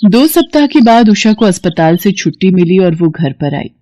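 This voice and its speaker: A woman's voice: mid-range voice, very animated, moderate pace, dark tone, dry recording. A woman reading scripted narration for a family moral story as its storyteller.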